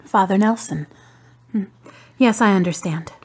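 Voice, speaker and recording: A woman playing a grieving, polite adult daughter in a radio drama, subdued and husky. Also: mid-range voice, measured pace. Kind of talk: amateur radio drama acting.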